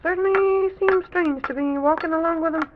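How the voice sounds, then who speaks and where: A man voicing a small, excitable, curious childlike character in an old children's radio serial, exclaiming and repeating himself in wonder. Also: extremely high pitch, normal speed, heavy background noise. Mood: eager, bustling. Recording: dull vintage radio recording with music.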